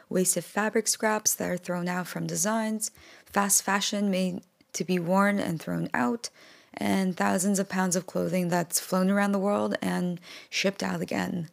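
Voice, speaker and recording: A woman hosting a podcast, reading a scripted introduction at a steady pace. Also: mid-range voice, vocal fry. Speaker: podcast host.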